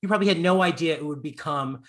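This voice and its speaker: A man's voice: on the high side, quick pace, fairly bright tone. Effusive, campy man hosting an interview. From born in Long Island, raised in Florida. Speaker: interviewer host. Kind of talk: casual celebrity interview.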